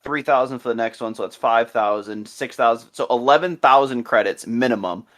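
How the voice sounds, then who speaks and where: A man's voice: medium pitch, fairly quick, fairly bright tone. An easygoing man co-hosting a casual podcast, agreeable and talking through numbers step by step. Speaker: podcast co-host. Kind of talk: casual podcast chat about a game.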